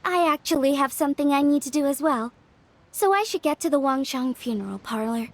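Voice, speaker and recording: A woman playing a polite, composed, bookish young woman in a video game, speaking in a measured, articulate way. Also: high-pitched, lively intonation. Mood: calm, informative.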